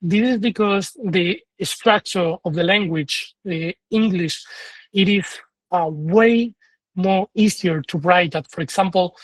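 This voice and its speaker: A man's voice: high-pitched, takes it slowly, no echo, low-quality recording. A man from Spain speaking English with a Spanish accent, giving advice as an exam trainer in an online session, in short phrases with frequent fillers and restarts. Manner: earnest, advising tone.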